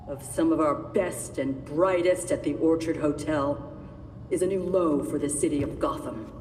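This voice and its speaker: Authoritative female police chief, slow formal oratory, distant and reverberant. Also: fairly low pitch, a little mellow. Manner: measured, oratorical. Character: stern authoritative female police commissioner giving public address. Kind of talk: formal speech in game cutscene. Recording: distant echoey address, faint noise.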